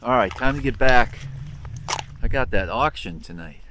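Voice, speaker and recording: A relaxed man in his thirties talks casually to his camera, hosting his own fishing vlog. He fills pauses with easy ums and uhs. His mid-pitched voice sits over clear background noise from the outdoor camera audio. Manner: relaxed, chatty, many fillers. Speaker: fishing channel host. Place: outdoors in a coastal salt marsh. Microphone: action camera held close.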